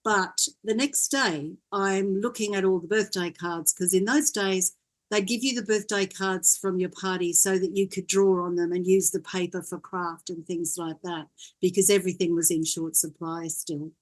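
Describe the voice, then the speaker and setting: An older woman from Wales, a guest in an interview, telling her story in a gentle, reflective way. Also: fairly low pitch, fairly even intonation, moderate pace. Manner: gentle, reflective, laughs often.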